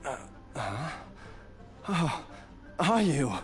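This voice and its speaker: A man playing a gentle, bewildered, apologetic father in an anime game, speaking haltingly as memory returns. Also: on the high side, sing-song, heavily acted. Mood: confused, apologetic. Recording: faint background music.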